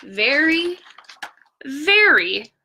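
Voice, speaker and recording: A woman's voice: sits high, big pitch swings, extremely slow, bright, forceful tone, slight background noise. A woman reading a picture book aloud as a bedtime story, drawing out words for emphasis and adding asides to her viewers.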